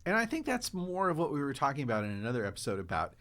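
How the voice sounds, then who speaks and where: A man's voice: medium pitch, wildly swooping pitch, very fast, fairly bright tone. A man talking casually as one of the panelists on a podcast. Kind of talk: casual chat.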